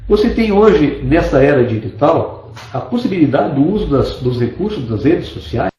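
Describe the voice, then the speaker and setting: A man's voice: fairly high pitch, big pitch swings, moderate pace, dark tone, echoey room, dull audio. A man from Brazil giving an online business presentation as a network marketing presenter, persuasive and enthusiastic, stacking lists of points. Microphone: desktop computer mic.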